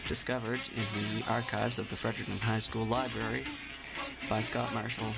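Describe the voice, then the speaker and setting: A man giving a scripted literary reading in a solemn, measured manner. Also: fairly low pitch, flat delivery, gravelly, low-quality recording. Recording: music playing under the voice. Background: loud music.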